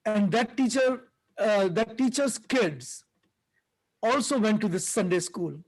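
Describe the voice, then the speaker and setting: A man hosting a podcast, reading an article aloud and commenting on it in a halting way, with many fillers and restarts. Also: high-pitched, low-quality recording. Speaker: podcast host.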